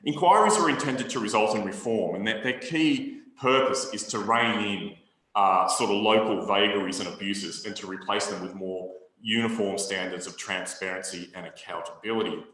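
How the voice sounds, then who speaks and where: A man presents a history lecture to a seated audience, his delivery measured and academic, often restarting a phrase. His voice sits a little low but has a bright, forceful edge. The room rings with heavy echo. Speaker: historian giving a talk. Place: hall with audience. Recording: very echoey room recording.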